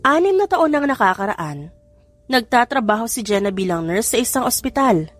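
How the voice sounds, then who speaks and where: Filipino woman narrating over clear music. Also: on the high side, sing-song, moderate pace. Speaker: audiobook narrator. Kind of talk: scripted romance story narration. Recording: music bed behind narration. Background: background music.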